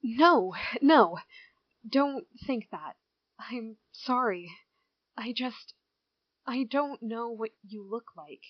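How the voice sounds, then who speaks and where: A woman playing a withdrawn small-town girl in an amateur audio drama, hesitant, halting and apologetic. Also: fairly high pitch, lively intonation, dry recording. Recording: dull, dry lo-fi home recording.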